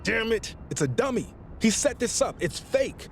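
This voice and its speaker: A man's voice: sits high, very animated, normal speed, vocal fry, slight background noise, full character voice. A man playing an earnest, eager young police officer in a video game, urgent and clipped in his speech. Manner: urgent, clipped radio talk. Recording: loud music behind the voice.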